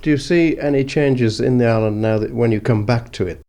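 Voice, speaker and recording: A man interviewing on radio, putting his questions in a slightly halting way. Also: medium pitch, mellow.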